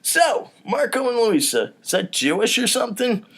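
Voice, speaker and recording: A man's voice: very high-pitched, sing-song, normal speed, gravelly, a bit bright, slightly rough, pitched above the performer's own voice, full character voice. A man voicing a bigoted, falsely cheerful banker in a comedy script, with a theatrical salesman's delivery. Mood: scornful ranting.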